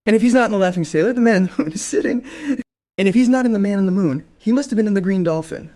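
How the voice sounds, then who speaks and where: An actress playing a spiteful, gossipy middle-aged wife in a crime radio play, scornful and sarcastic. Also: female voice, medium pitch, rapid-fire, dark tone, lightly acted. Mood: sardonic.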